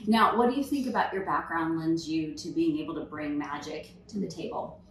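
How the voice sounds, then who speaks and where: Woman interviewer, easygoing and low-pitched, roomy recording. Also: a little fast. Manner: easygoing, short affirmations. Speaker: podcast host. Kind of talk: casual interview questions. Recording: echoey room, faint noise.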